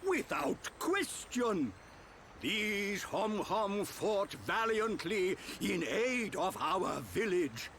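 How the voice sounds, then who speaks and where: A man's voice: high voice, very animated, drawn-out words, a bit bright, slight background noise, heavily acted. A man playing a hearty, formal old village chief, a small furry creature in a video game, speaking grandly and emphatically. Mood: hearty, obliging. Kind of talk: video game cutscene acting.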